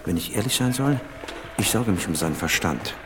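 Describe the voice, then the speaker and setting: An actor playing a grave, disillusioned politician, muttering ominously, in a radio drama. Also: male voice, sits low, lively intonation, fairly quick.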